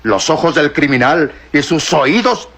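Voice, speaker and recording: A man's voice: on the high side, lively intonation, measured pace, vocal fry, fairly bright tone, slightly rough, heavily acted. An actor playing a stern male police inspector in an old radio drama, theatrically accusing. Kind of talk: 1960s radio drama acting.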